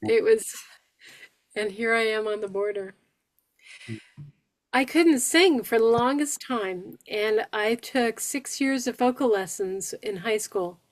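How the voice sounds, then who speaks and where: A woman from New York State who has aphasia, speaking slowly and deliberately with careful pronunciation as she shares her experience with a support group. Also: a little high.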